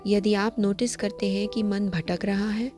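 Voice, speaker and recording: A woman with a mid-pitched voice guides a meditation over faint background music. She speaks as an instructor reading a prepared script, with gentle, measured phrasing. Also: steady tone.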